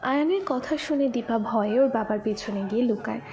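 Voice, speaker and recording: A woman narrates a scripted drama story. Her voice sits fairly high, and soft music plays behind her narration. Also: fairly quick.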